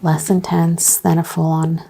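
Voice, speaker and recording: A soft-spoken woman playing a chiropractor in an ASMR roleplay, talking in a calm clinical way and often dropping to a whisper. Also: low-pitched, a little slow, gravelly, a little hiss or hum, rough recording.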